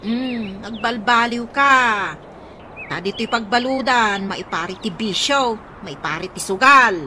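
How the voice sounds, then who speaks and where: A woman's voice: a little high, measured pace, bright, forceful tone, slight background noise. A woman with an Ilocano accent playing a scolding, unforgiving sister in a radio comedy drama. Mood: scolding, dismissive.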